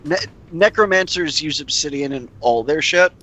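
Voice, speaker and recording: A man in casual conversation during a tabletop roleplaying game, with a husky, sharp-edged voice. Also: on the high side, normal speed, slight background noise, poor audio.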